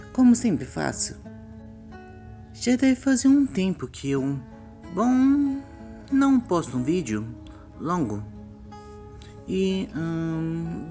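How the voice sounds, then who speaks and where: Brazilian woman doing youtube horror commentary, soft dark voice, really swoopy intonation, music underneath. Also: sits low. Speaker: YouTube host. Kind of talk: scripted commentary on horror animation.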